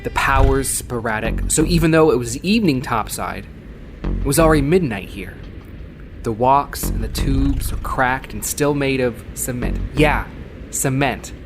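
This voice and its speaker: Male narrator as gritty, jaded noir courier for sci-fi audio drama, wry and weary, mid-pitched, music underneath. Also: measured pace, natural voice. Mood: bitter, weary. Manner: world-weary, sardonic.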